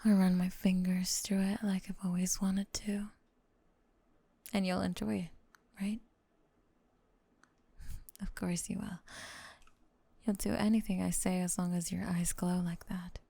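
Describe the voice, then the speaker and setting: A woman performs a young lamia roommate, lovestruck and sweetly menacing, in an ASMR roleplay. Her voice is lowish and heavily creaky, with a soft, husky whisper. She coaxes the listener slowly and tenderly, with a possessive edge. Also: level tone, dry recording, full character voice. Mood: soothing, possessive. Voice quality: breathy, whispery. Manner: slow, tender, coaxing.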